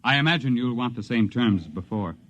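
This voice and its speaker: An actor playing a gruff, bossy retired army general, an older man, in a 1950s radio drama. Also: mid-range voice, brisk, natural voice. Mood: scheming. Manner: blustering, bossy, excitable.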